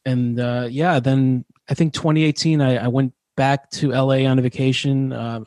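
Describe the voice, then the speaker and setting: A man's voice: mid-range voice, steady tone, normal speed, a little mellow, no echo. Chatty man, dry close sound. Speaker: podcast host. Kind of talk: casual conversational interview.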